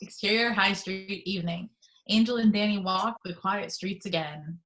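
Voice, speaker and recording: A woman's voice: mid-range voice, moderate pace, a bit bright, low-quality recording. Woman narrator for screenplay table read. Middle register, reads stage directions. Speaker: narrator reading action descriptions.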